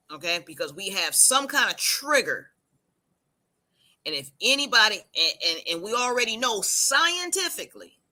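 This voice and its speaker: A woman's voice: medium pitch, bright, forceful tone. A woman from Puerto Rico, a hairstylist, making her point emphatically on a livestream panel. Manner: emphatic, preachy, drawn-out lists.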